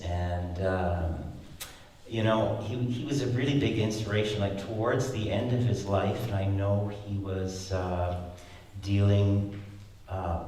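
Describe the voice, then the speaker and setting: A man in his sixties, a flute player, giving halting spoken remarks at a concert with many fillers and restarts. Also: low voice, mellow, slight background noise. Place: large concert hall. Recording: echoey concert hall from far off.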